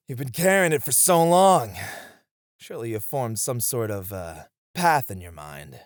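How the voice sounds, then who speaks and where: A man's voice: medium pitch, sing-song, a little slow, no echo, clean studio sound. A man playing a seasoned knight, a wistful, teasing sparring comrade in a romantic roleplay, with reflective, hesitant phrasing. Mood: playful, exerted. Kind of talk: romantic ASMR roleplay acting.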